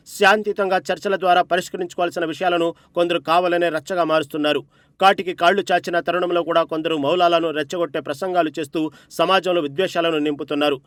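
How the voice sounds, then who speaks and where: A man's voice: on the high side, fairly bright tone, no room sound. A man reading a scripted news report as narrator, with an emphatic, dramatic delivery.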